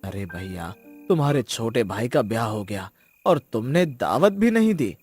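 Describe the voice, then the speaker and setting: A man acts out a village man in a cartoon moral story. He is stern and easily indignant, speaking at a middle pitch. Music plays clearly underneath. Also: sing-song, normal speed, a little mellow, no room sound. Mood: teasing, boastful. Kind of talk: acted cartoon story dialogue.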